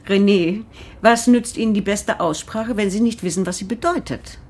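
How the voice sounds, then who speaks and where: A woman playing an exacting, ironic schoolteacher in a radio drama, didactic and gently mocking as she addresses her class. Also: mid-range voice, moderate pace, husky, lightly acted. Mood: strict.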